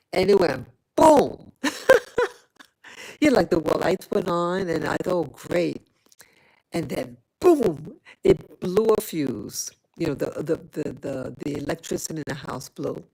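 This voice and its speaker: A woman's voice: lowish voice, creaky, darkish tone, low-quality recording. A woman from New York City chatting casually to her viewers, rambling with many fillers and restarts. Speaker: vlogger. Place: home in Rwanda.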